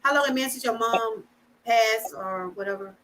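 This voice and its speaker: A woman's voice: fairly high pitch, normal speed, a crowd in the background, rough recording. A woman from Georgia, hosting a casual live stream and encouraging her guests with questions. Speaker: host.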